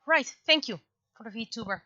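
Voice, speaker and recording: A woman chatting casually on a livestream as an artist at work, speaking haltingly as she thinks aloud. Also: a little high, lively intonation, bright, forceful tone, no room sound, highs cut off.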